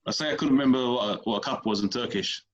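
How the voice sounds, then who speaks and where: A man chats casually as a comedian guest on a podcast, rambling through his stories and stumbling over repeated words. His voice sits at an ordinary pitch. The recording sounds a little dull and muffled. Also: rapid-fire.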